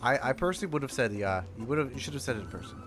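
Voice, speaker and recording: A man's voice: medium pitch, expressive, rapid-fire. A man livestreaming a game, reacting casually and reading text aloud in informal, self-interrupting chatter. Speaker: game streamer. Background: game music.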